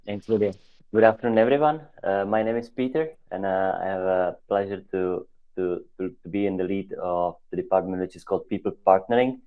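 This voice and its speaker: A man introducing himself haltingly as a guest on a video call. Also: sits low, smooth. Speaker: guest, HR lead. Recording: thin, phone-like call audio.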